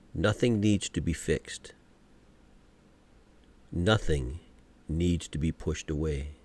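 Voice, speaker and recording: A man guiding a meditation from a script in a gentle, soothing, unhurried manner, pausing often between short phrases. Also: low voice. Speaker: meditation guide. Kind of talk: guided meditation, scripted narration.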